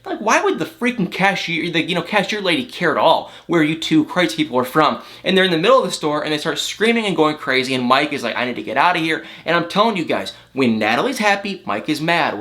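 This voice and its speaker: A man's voice: on the high side, sharp tone. A man hosting a reality TV recap, chatting in a gossipy, exasperated and dramatic way. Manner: exaggerated, exasperated, dramatic retelling with mimicked dialogue. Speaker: host of a reality TV recap channel.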